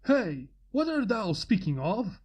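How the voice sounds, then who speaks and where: A woman's voice: mid-range voice, sing-song, slow pace, darkish tone, slightly rough, full character voice. Flameblade, a woman doing a pompous guard pony. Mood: commanding. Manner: formal, archaic, commanding. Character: pompous male royal guard pony, old-fashioned speech.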